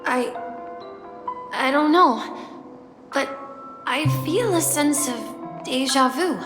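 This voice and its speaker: A woman voicing a timid, polite young bellboy in a video game, halting and unsure. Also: sits high. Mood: puzzled, hesitant. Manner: hesitant, halting. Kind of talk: scripted video game voice acting. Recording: echoey hall reverb with music behind.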